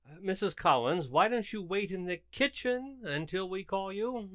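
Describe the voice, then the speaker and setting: A man's voice: high voice, lively intonation, normal speed, a bit bright, no echo, clean studio sound. A man playing a polite, flustered suburban husband in a radio comedy, hesitant and placating. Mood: exasperated. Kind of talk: radio comedy theater acting.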